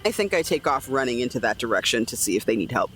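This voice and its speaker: A man's voice: mid-range voice, big pitch swings, fast talker, fairly bright tone, slight background noise. A man playing a tabletop roleplaying game, casually stating what his character does.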